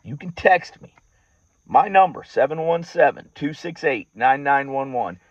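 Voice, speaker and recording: A man's voice: a little high, animated, measured pace, dry recording. Indignant man, dull lo-fi recording. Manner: indignant, emphatic, repeats phrases for weight. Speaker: farmer and activist speaking to followers. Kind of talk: personal monologue to an audience, political grievance. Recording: dull lo-fi audio.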